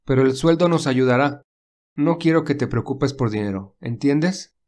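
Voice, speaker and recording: A man voicing a gentle, protective young man who speaks calmly and reassuringly in a read-aloud story. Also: medium pitch, moderate pace, lightly acted. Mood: calm caring. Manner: calm, reassuring. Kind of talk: audiobook dialogue reading.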